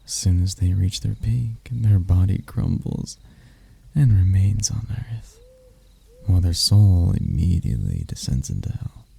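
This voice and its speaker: A man acts out a suave male demon prince in an intimate ASMR roleplay, his low voice thick with gravelly fry. He is arrogant and seductive, taking his time over every taunt and sliding toward a breathy murmur. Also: sing-song, dark tone, full character voice. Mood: condescending, sultry. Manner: smooth-talking, taunting, unhurried, dropping into whispers. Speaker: voice actor.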